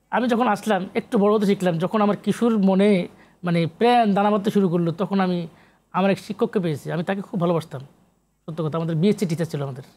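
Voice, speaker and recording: Bangladeshi guy, academic guest on a talk show, lecturing hard, rapid and high voice, drops english terms. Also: a little mellow. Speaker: guest, professor and literary researcher. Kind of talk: animated TV interview discussion.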